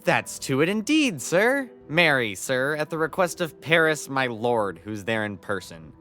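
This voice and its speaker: Cheeky, lively servant, played by a man. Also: mid-range voice, soft background music. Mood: mocking. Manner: wry, deferential wordplay, deliberately literal. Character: cheeky, quick-witted servant of a young lord. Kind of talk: Shakespearean audio drama acting.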